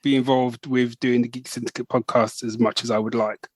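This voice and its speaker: A man's voice: medium pitch, flat delivery, fairly quick, dry recording, studio quality. A man co-hosting a podcast, teasing his partner in playful casual banter. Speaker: podcast co-host. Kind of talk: casual chat.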